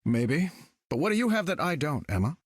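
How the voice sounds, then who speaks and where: Cocky, higher-voiced playboy hero. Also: male voice, sing-song, fairly quick, breathy edge, no echo, studio quality. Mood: smug, flirtatious. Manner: breezy, smug, teasing. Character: cocky, wisecracking rich playboy inventor hero. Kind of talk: video game voice acting.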